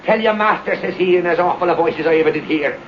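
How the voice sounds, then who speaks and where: Excitable male servant, acted by a man, radio horror: high voice, breathless alarm, theatrical, dull noisy vintage sound. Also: fast talker, close to an everyday voice. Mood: alarmed, urgent. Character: frightened, excitable servant bringing a dreadful message. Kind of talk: radio horror drama acting. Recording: muffled, noisy old radio recording.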